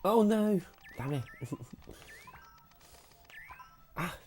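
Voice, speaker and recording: A woman with a husky voice after a recent illness, chatting casually and self-deprecatingly while live-streaming retro games. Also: mid-range voice, expressive, extremely slow, mellow, soft background music. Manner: self-deprecating, apologetic, giggly. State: recovering from sickness.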